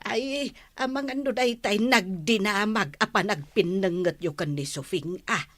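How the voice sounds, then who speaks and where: A woman's voice: medium pitch, unhurried, a bit bright, no room sound, close to an everyday voice. An Ilocano-accented woman playing a nosy, teasing village neighbour in a comedy radio drama. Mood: matter-of-fact.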